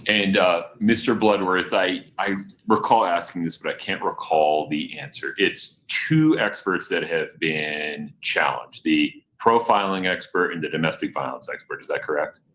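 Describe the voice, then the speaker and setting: A man presiding as judge at a court hearing, asking measured, deliberate questions. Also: sits low, fairly bright tone, a little hiss or hum.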